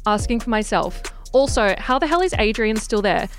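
Young woman podcaster, sharp-tongued and sarcastic, slightly high voice, faint music under her. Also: animated, moderate pace. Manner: sassy, sarcastic and irreverent, swears freely. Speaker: podcast host. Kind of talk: casual gossip podcast monologue.

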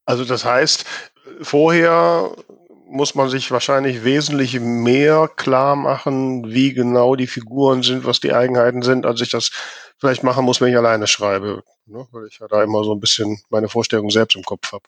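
A man with a German accent asks interview questions in a casual way. His voice sits at a middle pitch in a very dry sound. He tends to think aloud as he forms each question. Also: level tone, normal speed, dry recording.

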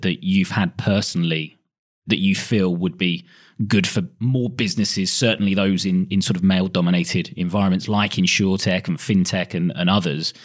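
A man hosting a podcast interview, asking long, winding questions and giving encouraging replies. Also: low-pitched. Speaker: podcast host.

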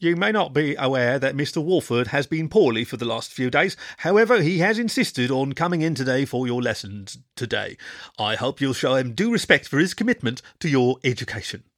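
A formal, grumpy moose head teacher as a man reads him. He speaks in measured, proper sentences in a mid-range voice. The storybook sound is close and dry. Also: mid-range voice, lively intonation, a bit bright, dry recording.

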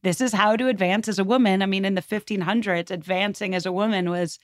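A woman's voice: mid-range voice, fairly even intonation, fast, no echo, studio quality. A woman guest talking at length in an emphatic, rambling, conversational way, as if telling a story from history. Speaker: podcast guest.

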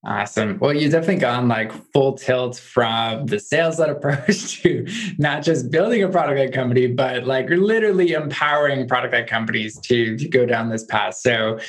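A man's voice: mid-range voice, moderate pace, hardly any pauses. A man hosting a podcast, chatting casually and saying "like" often. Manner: chatty, many "like" fillers. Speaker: podcast host. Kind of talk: casual interview chat.

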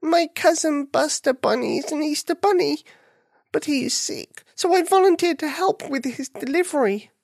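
A woman voicing a small, earnest young male bunny in a children's story, anxious and rushed, with a pleading manner. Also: high-pitched, darkish tone, no echo, studio quality, pitched above the performer's own voice. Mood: wailing, upset.

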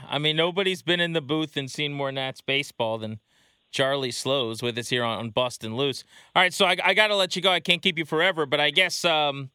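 A man's voice: on the high side, sharp tone, smooth, no room sound. A man hosting a sports podcast in a conversational interview style, close on a studio microphone.

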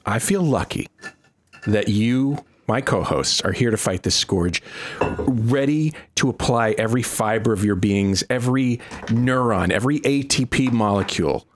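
A man's voice: on the low side, unhurried, a little hiss or hum. A man hosting a podcast in a playful way, sometimes slipping into mock-dramatic speech. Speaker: podcast host. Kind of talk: casual podcast chat.

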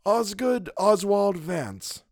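A man's voice: high voice, animated, takes it slowly, no room sound, studio quality, voice pushed up, exaggerated voice. A man voicing a sinister, crippled old blackmailer in a thin, raised, cold voice. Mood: cold reading.

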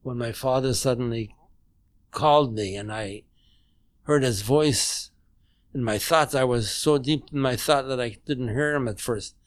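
A man in his nineties who grew up speaking German, recounting memories in a halting, measured way with many restarts, at home. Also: mid-range voice, no echo. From Poland. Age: 90s. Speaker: interview guest. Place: home dining room.

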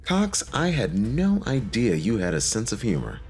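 A man's voice: on the low side, huge pitch swings, moderate pace, darkish tone, breathy edge, faint music behind, exaggerated voice. An actor playing an easygoing, joking male mission captain in an audio drama. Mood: brisk, wry.

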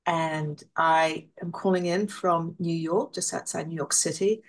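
A woman from Kenya with a Kenyan accent introducing herself as a podcast guest, sounding warm and smiling. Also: low-pitched, steady tone, unhurried, low-quality recording. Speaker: podcast guest, leadership consultant. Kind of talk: conversational self-introduction.

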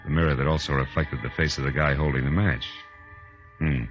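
An actor playing a wry, world-weary private detective in 1940s radio noir style. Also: male voice, very deep voice, steady tone, fairly quick, faint music behind. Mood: weary, reflective. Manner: wry, familiar, hard-boiled. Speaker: lead actor and narrator. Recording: dull 1940s radio recording.

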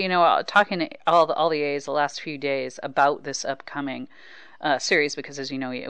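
A woman's voice: low voice, fairly bright tone, clear, resonant tone, no echo. An American woman hosting a casual sports interview, chatting about baseball as a reporter. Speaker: podcast host and baseball reporter.

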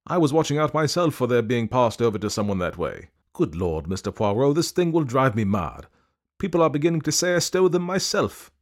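A man's voice: mid-range voice, very animated, fairly quick, voice pushed up. A man voicing a harried man in his thirties who speaks earnestly and emphatically, exasperated.

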